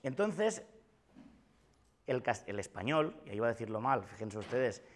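A man's voice: medium pitch, big pitch swings, moderate pace. A man in his forties with a Valencian Spanish accent, giving an emphatic, gesturing philosophy lecture to students in a classroom, often repeating his points. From Valencia, Spain. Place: classroom with whiteboard. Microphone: far camera.

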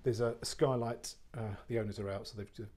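A man, an estate agent giving an unscripted walk-through tour of a warehouse flat, chatty and full of fillers and restarts. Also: mid-range voice, a little fast, slight background noise. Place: large warehouse flat, indoors. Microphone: handheld phone camera, speaker off screen.

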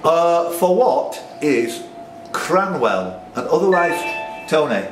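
A man's voice: on the high side, very animated, takes it slowly, recorded from a distance, over music. A man, acting as quizmaster, reading questions and bantering with the players. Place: pub, indoors among a group of players. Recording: roomy pub recording, off-mic, faint noise.

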